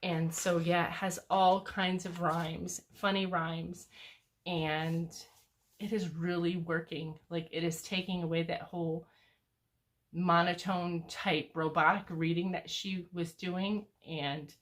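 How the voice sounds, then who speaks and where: A woman's voice: lowish voice, little pitch movement, takes it slowly. Chatty enthusiastic grandmother. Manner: chatty, enthusiastic, many fillers. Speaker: homeschooling grandmother vlogger. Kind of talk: casual book review chat.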